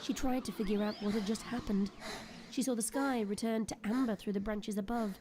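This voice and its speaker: A woman giving dramatic scripted narration for an audio drama, expressive and suspenseful. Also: mid-range voice, fairly quick, noisy background. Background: prominent background music.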